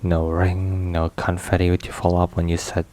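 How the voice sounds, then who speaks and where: A man playing a brooding but tender mafia boss boyfriend in an intimate ASMR roleplay, murmuring haltingly with hushed pauses. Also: sits low, completely flat delivery, lightly acted. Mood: sheepish, tender. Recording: rain and thunder behind voice. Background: rain and thunderstorm ambience.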